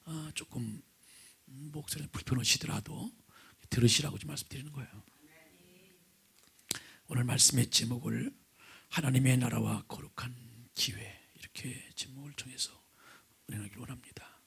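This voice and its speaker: A middle-aged male pastor preaching with a hoarse, raspy voice from vocal nodules, often sinking to a breathy near-whisper and asking the congregation questions. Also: medium pitch, moderate pace, long pauses, fairly bright tone. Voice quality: raspy, gravelly. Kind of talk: sermon with opening prayer. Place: church sanctuary, at the pulpit.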